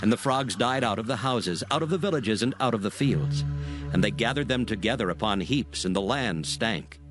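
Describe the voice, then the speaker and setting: A man narrating scripture in a measured, steady read. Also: fairly low pitch. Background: music.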